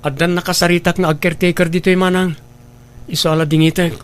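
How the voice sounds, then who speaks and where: A man's voice: sits high, flat delivery, fast talker, clean tone, close to an everyday voice. A man with an Ilocano accent playing a firm but helpful younger sibling in a radio drama. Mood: firm, reassuring. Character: firm younger sibling who helps out the elder sister.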